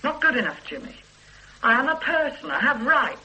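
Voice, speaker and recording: Radio play: cross wife, sharp, very bright tone. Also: female voice, high voice, big pitch swings, normal speed, highs cut off, full character voice. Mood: aggrieved. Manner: sharp, aggrieved. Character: exasperated wife of a policeman. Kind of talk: 1980s radio drama acting.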